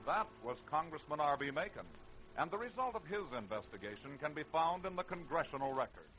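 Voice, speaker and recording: A man's voice: on the high side, animated, fairly quick, music in the background, poor audio. An American man hosting and narrating an old radio show in an emphatic, theatrical scripted style. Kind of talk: 1930s-40s dramatic scripted narration.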